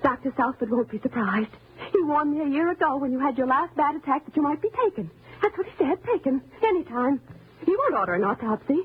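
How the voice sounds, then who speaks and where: Timid wife turned murderous, old radio. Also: female voice, sits high, animated, fast. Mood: calm menace. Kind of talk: old-time radio thriller acting. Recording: noisy, narrow-band old radio recording.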